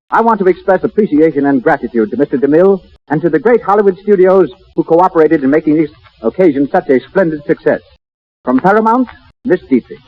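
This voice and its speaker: A man making formal announcements in the style of 1930s American radio. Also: fairly high pitch. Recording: dull old 1930s radio broadcast.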